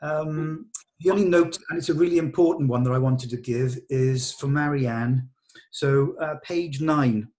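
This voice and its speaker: A man's voice: fairly high pitch, measured pace, darkish tone. A man directing a read-through, giving actors encouraging notes in conversation and often rephrasing as he goes.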